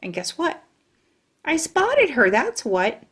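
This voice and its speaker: A woman's voice: high-pitched, wildly swooping pitch, slow, a bit bright, heavily acted. A woman voicing a brash, chatty kindergarten girl who tells her own story in childish grammar. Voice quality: childlike, bossy, ungrammatical storytelling. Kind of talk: audiobook narration.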